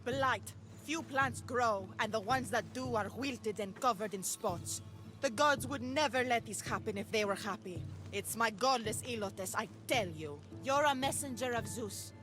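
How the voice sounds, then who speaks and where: A bitter woman who owns a farm voiced by an actress for a game. Her voice sits slightly high and is full of pious complaint. Music plays clearly behind her. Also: a little slow, lightly acted. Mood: bitter, indignant. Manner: indignant, complaining, self-righteous. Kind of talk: video game cutscene acting.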